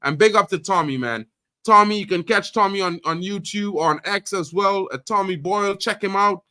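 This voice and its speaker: A man from Trinidad hosts a casual live football stream, talking loudly and excitedly with repeated catchphrases. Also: sits high, normal speed, no echo, studio quality. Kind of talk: casual live sports chat.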